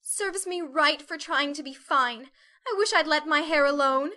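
A woman's voice: high voice, a little slow, pushed and bright, pitched above the performer's own voice. A woman voicing a fussy, anxious teenage elder sister in an audiobook, with a prissy, ladylike, emphatic manner. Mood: petulant. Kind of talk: audiobook character voice.

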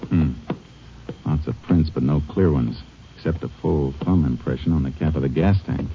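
An actor playing a stern Texas Ranger who talks in a clipped, commanding way, in 1940s radio acting style. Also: male voice, extremely low pitch, moderate pace, over music. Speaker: narrator and lead. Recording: dull old radio recording.

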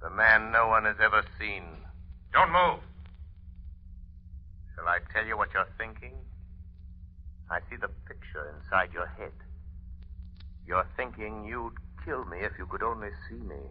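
Deep, commanding, uncanny crime fighter. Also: male voice, animated, a little slow, pauses often. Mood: cold, commanding. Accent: American. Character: uncanny mind-reading crime fighter, commanding, cold and menacing. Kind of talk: 1940s radio drama acting. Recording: muffled, noisy old-time radio recording.

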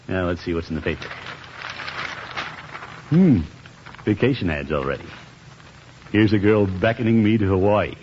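An actor playing a pompous, easily flustered middle-aged uncle in a 1950s radio sitcom, chuckling and muttering to himself with drawn-out exclamations. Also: male voice, low voice, lively intonation. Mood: drowsy, self-amused. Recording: dull 1950s radio broadcast, faint noise.

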